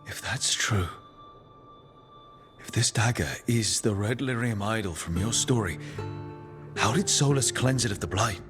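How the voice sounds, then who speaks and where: A man playing an earnest, level-headed hero in a cinematic fantasy game, speaking in a measured, wry, conversational way. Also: fairly low pitch, close to an everyday voice. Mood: concerned. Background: music.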